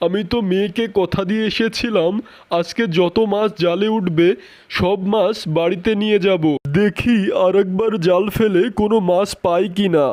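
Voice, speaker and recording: A man's voice: high-pitched, steady tone, moderate pace, darkish tone, close to an everyday voice. A man voicing a doting, teasing, poor fisherman father in a cartoon. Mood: dismayed. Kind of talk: cartoon character dialogue.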